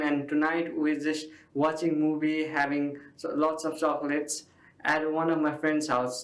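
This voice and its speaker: A young man from Nepal, answering interview questions earnestly in simple phrases. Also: on the high side. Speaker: interviewed student. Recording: roomy echo.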